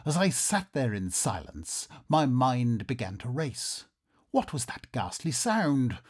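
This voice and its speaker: A man's voice: medium pitch, very animated, takes it slowly, breathy edge, exaggerated voice. Man acting a swooping, theatrical Victorian nobleman. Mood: wondering, suspenseful. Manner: melodramatic, genteel, wordy. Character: curious, polite Victorian nobleman narrating his eerie adventure. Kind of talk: theatrical radio drama narration and dialogue.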